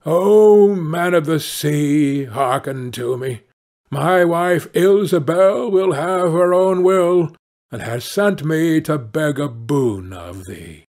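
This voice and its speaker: A man's voice: fairly high pitch, animated, takes it slowly, darkish tone. A man voicing a meek, worried, henpecked fisherman in a fairy-tale audiobook, with a pleading, plaintive manner. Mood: calling out, reluctant.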